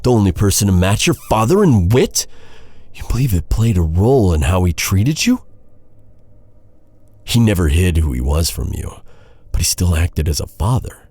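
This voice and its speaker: A man playing an earnest, anguished knight in a dark fantasy audio roleplay, speaking gravely in halting, formal, old-fashioned phrases. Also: deep voice, no room sound. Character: earnest, anguished male knight confronting a captured princess.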